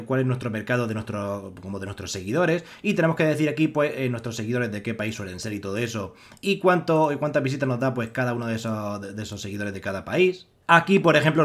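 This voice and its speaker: Fast-talking rambling man with a Spanish accent. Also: mid-range voice. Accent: Spanish from Spain. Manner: rambling, heavy use of filler "pues" and restarts. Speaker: online money-making tutorial host. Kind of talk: screen-recorded walkthrough narration.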